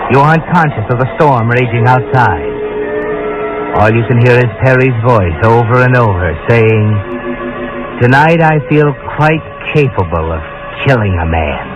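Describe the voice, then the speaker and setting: A man's voice: medium pitch, expressive, moderate pace, natural voice. An actor playing an ominous, insinuating male narrator in a 1940s radio suspense drama, speaking directly to the heroine. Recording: dull 1940s radio recording with music.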